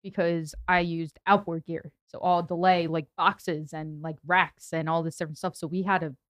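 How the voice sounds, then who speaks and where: A woman's voice: on the low side, little pitch movement, moderate pace, dry recording, clean studio sound. A woman co-hosting a casual podcast, nasal, chatty and giggly as she explains audio gear. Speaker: co-host and audio engineer. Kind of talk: casual studio podcast chat.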